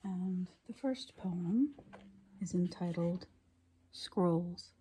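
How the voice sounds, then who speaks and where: Breathy, slow woman whispering poetry. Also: fairly low pitch, dark tone. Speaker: poetry reader. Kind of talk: ASMR whispered poetry reading.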